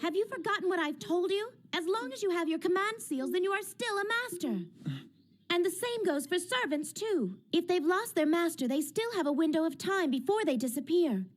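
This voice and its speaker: A woman's voice: extremely high pitch, animated, normal speed. A woman doing a bossy teen girl mage. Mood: lecturing. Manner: firm, lecturing. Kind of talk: fan manga dub.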